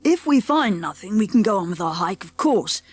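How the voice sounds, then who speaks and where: A woman's voice: medium pitch, big pitch swings, normal speed, husky, no room sound. A woman voicing a confident, polite schoolboy who leads his friends in a children's audiobook. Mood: eager, explaining. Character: confident, polite schoolboy leader, eager and decisive. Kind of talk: children's audiobook character acting.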